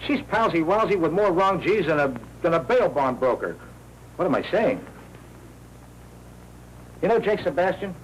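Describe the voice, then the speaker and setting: A man's voice: high-pitched, big pitch swings, normal speed, pauses often. An actor with an American accent playing a streetwise, pushy newsroom man in a 1940s crime film, full of slangy wisecracks. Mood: insistent, needling. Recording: noisy 1940s film soundtrack.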